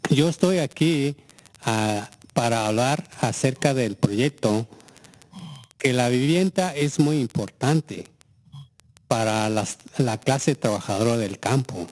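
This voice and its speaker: A man gives public comment at a formal meeting as a community organizer. His first language is Mixteco, and his voice sits at an average pitch. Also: unhurried, darkish tone, rough recording.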